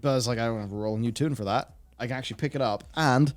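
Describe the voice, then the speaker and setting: A man's voice: mid-range voice, rapid-fire. Rambling male gaming host, thinking aloud. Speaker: host reading and answering viewer comments. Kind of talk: casual gaming discussion.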